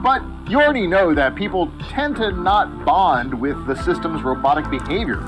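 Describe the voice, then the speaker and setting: A man's voice: fairly high pitch, lively intonation, normal speed, close to an everyday voice, poor audio. A man playing a formal, explanatory tech company presenter giving a scripted product presentation. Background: music.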